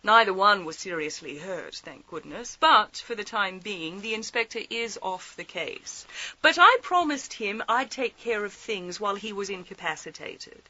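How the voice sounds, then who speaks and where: An actress playing a sharp lady detective in a radio mystery, politely needling with chatty, digressive, mock-innocent hesitations. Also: mid-range voice, animated, moderate pace, lo-fi sound. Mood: probing, coy. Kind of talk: scripted radio mystery acting.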